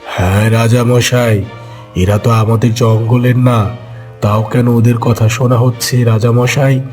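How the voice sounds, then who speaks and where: A man's voice: medium pitch, level tone, measured pace, darkish tone, faint music behind, natural voice. Man as a hungry, blustering cartoon tiger. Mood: indignant. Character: male tiger, hungry predator, fox's blustering uncle. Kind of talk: cartoon story voice acting.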